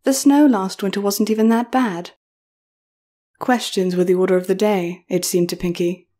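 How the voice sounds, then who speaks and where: A woman's voice: medium pitch, steady tone, moderate pace, dark tone. A woman reading scripted horror fiction as a storyteller, in narration.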